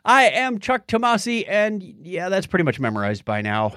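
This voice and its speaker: A man's voice: high-pitched, sing-song, normal speed, a bit bright, dry recording, studio quality. An American man co-hosting a podcast in a lighthearted, jokey way, moving between scripted intro and casual banter. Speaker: podcast co-host. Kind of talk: scripted show opening and casual chat.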